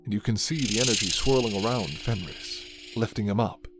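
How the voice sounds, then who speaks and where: A man's voice: on the low side, a little fast, over loud music. A man reading a scripted second-person fantasy romance as narrator of an ASMR roleplay.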